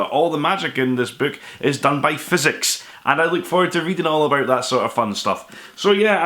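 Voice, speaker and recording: Quick, bright-voiced man chatting enthusiastically. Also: on the high side. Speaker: host of a book haul vlog. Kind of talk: casual chat to viewers.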